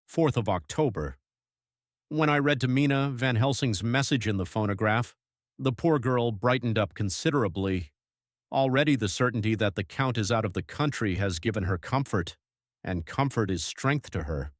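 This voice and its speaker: A man playing a troubled, devoted young husband reading his journal in measured literary diction for an audiobook. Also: medium pitch, no room sound, studio quality, lightly acted. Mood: relieved, reflective. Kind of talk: dramatised audiobook narration.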